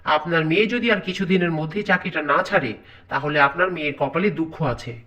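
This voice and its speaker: Cartoon casting: man as greedy, rude young husband, high-pitched, snapping out curt demands. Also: a little fast, bright, forceful tone, recorded from a distance, highs cut off. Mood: scornful. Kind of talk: cartoon voice acting.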